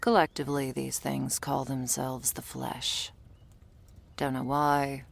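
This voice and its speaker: A woman performs a battle-tired monster huntress with an extremely deep voice for a woman. It is husky and breathy, with a heavy gravelly creak. She is guarded and sardonic, giving curt orders straight to the listener in an intimate audio roleplay. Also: little pitch movement, slow, full character voice. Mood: instructive, wry. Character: gruff, world-weary female monster hunter, curt and guarded.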